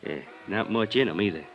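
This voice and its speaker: An actor playing a puzzled, deferential, folksy bartender who speaks hesitantly in a 1950s radio drama. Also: male voice, fairly low pitch, lo-fi sound. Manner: hesitant, plain-spoken, folksy.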